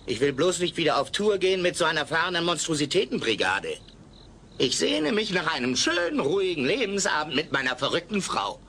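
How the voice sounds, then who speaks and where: Older eccentric householder, sharp bright voice, swooping pitch, dry wit, radio play. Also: male voice, big pitch swings, a little fast, slight background noise. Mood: wry, impatient. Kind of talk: German radio play dubbing.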